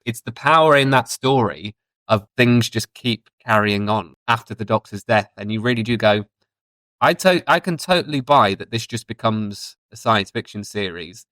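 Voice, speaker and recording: A man's voice: on the low side, expressive, normal speed, fairly bright tone, dry recording. A man co-hosting a podcast in casual review chat, talking enthusiastically and thinking aloud as he goes. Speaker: podcast co-host.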